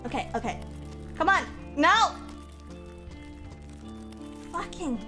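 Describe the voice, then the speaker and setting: A woman streaming a game in casual chat, a bit stuffy from a cold, going from low mumbles to loud excited yelling. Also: slow pace. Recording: game music behind.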